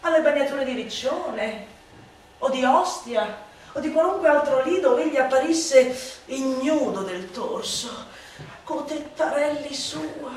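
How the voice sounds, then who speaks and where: A woman delivering a satirical radio monologue as a stage actress, declamatory and mocking, with drawn-out emphatic words and exaggerated swoops. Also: sits high, slight background noise. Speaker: stage actress reciting a literary text. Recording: very echoey, distant from the mic.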